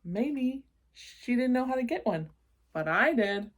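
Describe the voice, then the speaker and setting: A woman's voice: on the high side, sing-song, a little slow. A woman narrating a children's storybook expressively, breaking off to explain and check in with young listeners. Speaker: read-aloud storyteller for children.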